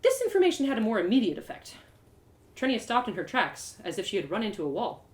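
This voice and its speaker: Animated, higher-voiced woman storyteller. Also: fast. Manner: dramatic, animated. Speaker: storyteller reading aloud. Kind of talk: expressive first-person fantasy narration, live reading.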